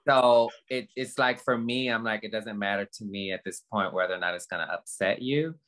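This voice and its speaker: A woman in her fifties talking candidly as a guest, earnest and halting, often repeating phrases for emphasis. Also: rumbling low, fairly bright tone. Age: 50s. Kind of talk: candid conversation, motivational talk.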